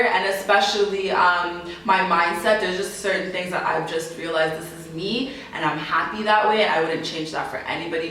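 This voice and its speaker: A woman's voice: medium pitch, recorded from a distance, slight background noise. A young woman from Canada, answering interview questions in a casual way and laughing often. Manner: laughs often, animated, chatty. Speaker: interview guest. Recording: echoey room, voice from off mic.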